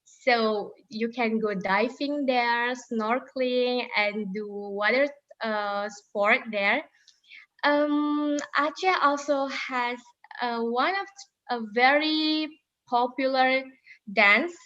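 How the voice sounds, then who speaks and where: A young woman from Indonesia with an Indonesian accent, answering interview questions in English with frequent pauses and fillers. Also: on the high side, steady tone, fairly bright tone, highs cut off. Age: 20s. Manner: hesitant, many fillers and restarts. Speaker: interview guest, former intern.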